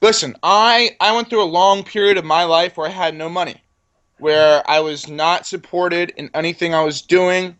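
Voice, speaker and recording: A man in his twenties talks about his business with easy confidence. His voice sits a bit high, and he often doubles the first words of a sentence before finding his stride. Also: fairly even intonation, normal speed, a bit bright. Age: 20s. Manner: confident, repeats words when starting sentences. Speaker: entrepreneur guest. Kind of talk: casual interview talk.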